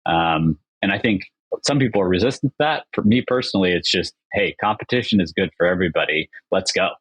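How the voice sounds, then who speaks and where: A man's voice: low-pitched, fairly quick, husky, dry recording, rough recording. A man, an agency founder appearing as a podcast guest, talking in an upbeat, enthusiastic way in long, flowing sentences. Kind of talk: casual interview chat.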